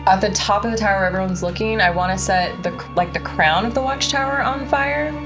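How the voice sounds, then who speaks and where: A woman's voice: mid-range voice, a little fast. A woman chatting casually as a player in a tabletop game, describing what her character does. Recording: loud cinematic music underneath.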